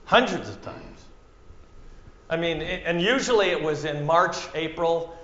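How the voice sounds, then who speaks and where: A man from central Minnesota with a Minnesota accent, preaching a sermon with dramatic swings from loud to hushed. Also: fairly high pitch, a little slow. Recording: echoey room, muffled sound.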